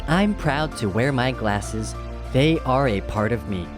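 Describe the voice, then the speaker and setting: A storybook reader giving scripted children's narration in an expressive, measured rhyming rhythm. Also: mid-range voice. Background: background music.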